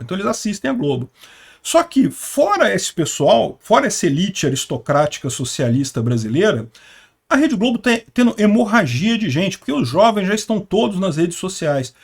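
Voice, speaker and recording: A man, hosting an opinionated solo commentary and talking in a rambling, conversational way full of asides. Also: high voice. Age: 50s. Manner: conversational, rambling, with frequent asides and tag questions. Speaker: political commentary channel host.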